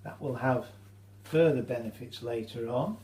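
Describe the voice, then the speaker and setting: British male hobbyist demonstrator. Relaxed, dark tone, hesitant informal delivery, faint hum in the recording. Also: mid-range voice. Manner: hesitant, halting, explanatory. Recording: roomy echo, faint hum.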